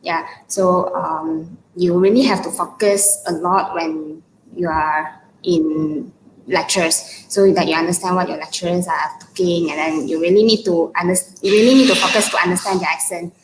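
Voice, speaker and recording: Hesitant Malaysian woman, low voice. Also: fairly even intonation, normal speed. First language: Mandarin. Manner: hesitant, many fillers and restarts. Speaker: former student guest. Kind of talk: casual interview answers. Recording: echoey room with faint noise.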